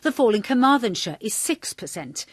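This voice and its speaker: A woman reporting a broadcast news story in a measured, clear voice. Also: a little high, sing-song, dry recording.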